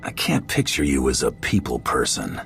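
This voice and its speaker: An actor playing a tough, cool bounty hunter in a video game, speaking in a dry, deadpan way. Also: male voice, a bass voice, moderate pace, gravelly, faint music behind, full character voice. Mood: dry, warning. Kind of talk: video game character acting.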